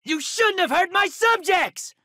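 Fierce female game fighter, very high-pitched, sharp, forceful and theatrical. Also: animated, unhurried, heavily acted. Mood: outraged. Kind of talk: video game voice lines.

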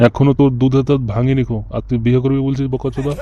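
Guy doing a gruff scolding village dad, cartoon, extremely fast, muffled lofi sound. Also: mid-range voice, level tone, mellow, natural voice. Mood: irritated, scornful. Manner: coarse, scolding. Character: gruff, scornful middle-aged village father. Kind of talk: comic cartoon dialogue. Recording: dull lo-fi audio with faint noise.

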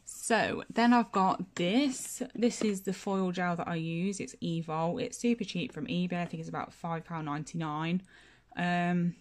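Chatty girl, bit blocked up from hay fever, lots of ums, slightly low voice, faint hiss. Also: steady tone, measured pace. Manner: chatty, self-deprecating, many fillers and restarts. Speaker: nail technician and channel host. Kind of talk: casual room-tour chat. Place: home nail room, indoors.

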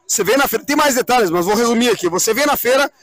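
A man's voice: extremely high pitch, lively intonation, fast, fairly bright tone. A middle-aged man speaks. He explains things in an interview, often repeating himself and asking rhetorical questions. The sound is dry, with no echo. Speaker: event president being interviewed. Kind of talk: live outdoor interview, explanatory talk. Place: outdoors on a wooden swing in a park.

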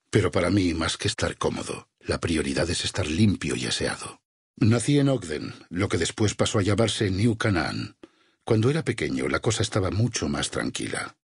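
Game role: devout burned warrior-missionary, Castilian accent, very husky and creaky, grave sermon-like delivery with smoldering zeal. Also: male voice, low-pitched, no room sound, studio quality, full character voice. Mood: calm, reflective. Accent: Castilian Spanish. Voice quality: raspy, gravelly. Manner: grave, measured, preacherly. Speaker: video game voice actor. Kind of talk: dramatic game dialogue.

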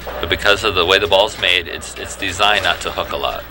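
Deep-voiced man commentating bowling. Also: fairly quick, music in the background. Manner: relaxed, knowing, chatty explanations. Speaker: color commentator. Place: bowling alley. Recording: noisy bowling alley ambience.

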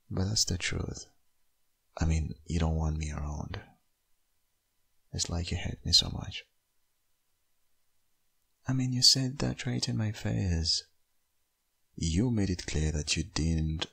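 A man playing a tender, wistful young father still in love with his ex in a boyfriend ASMR roleplay, speaking hesitantly in an intimate, husky, gravelly murmur. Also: deep voice, fairly bright tone, exaggerated voice. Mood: hurt, wistful. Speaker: audio roleplay voice actor.

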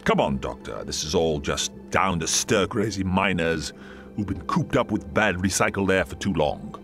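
An actor playing an imperious, dismissive colony boss in an animated sci-fi drama. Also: male voice, low voice, normal speed, faint music behind. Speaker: colony director.

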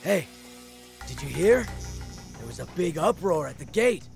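Higher-voiced gossipy soldier. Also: male voice, big pitch swings, a little slow, vocal fry, soft background music, full character voice. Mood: gossiping. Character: gossipy male army soldier on guard. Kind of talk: video game cutscene acting.

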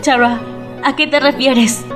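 A woman voicing a gentle, emotional young boy goat monster in a Latin American Spanish cartoon fandub, with music behind. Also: high voice, steady tone, measured pace. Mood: earnest, puzzled. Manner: earnest, emotional. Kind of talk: dramatic animation fandub.

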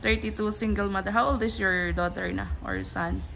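A woman's voice: medium pitch, fairly even intonation, a little fast, gravelly, fairly bright tone, faint music behind. A woman with a Filipino accent, chatting casually in a vlog at a nail salon and giggling often. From Philippines. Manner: giggly, chatty, mixing English and Tagalog. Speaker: vlogger.

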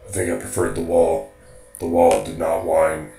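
A man playing a polite, deadpan metal robot creature in a fantasy audio drama. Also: low-pitched, normal speed, creaky. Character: deadpan metal robot Asura, mildly pedantic. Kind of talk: fan audio drama acting. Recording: music behind the voice.

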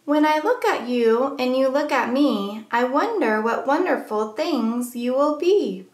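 A woman reading a rhyming children's picture book aloud as a storyteller, with measured, deliberate phrasing for young listeners. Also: high-pitched, echoey room.

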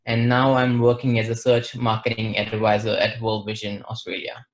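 A young man from western India with an Indian accent, explaining things in a measured, matter-of-fact way as an interview guest. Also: on the low side, completely flat delivery, rough recording. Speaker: interview guest, marketing advisor. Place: plain-walled room, indoors.

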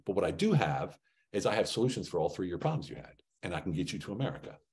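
Low-voiced man telling business stories. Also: rapid-fire. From Germany. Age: middle-aged. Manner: animated storytelling, acting out dialogue, punchy rhetorical questions. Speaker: business trainer. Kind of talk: motivational training talk with anecdotes. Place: indoor room, on a group video call. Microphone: video call.

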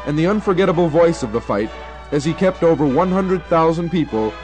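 A man's voice: fairly high pitch, expressive, a little fast, over loud music. A man from New York with an American accent, reading scripted radio documentary narration in a 1970 style. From New York, United States.

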